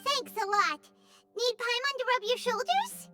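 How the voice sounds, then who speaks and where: A tiny game fairy with an extremely high, squeaky, childlike voice. She is perky, excitable and cheerful, with music playing behind her. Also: unhurried, exaggerated voice. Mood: teasing, curious. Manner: perky, refers to self in third person. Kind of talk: video game character dialogue.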